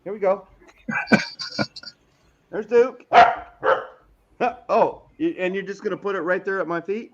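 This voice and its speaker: Male, unhurried and easygoing, playful livestream chatter, muffled low-fidelity sound. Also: a bit bright. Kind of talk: casual livestream chat. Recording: dull lo-fi livestream audio.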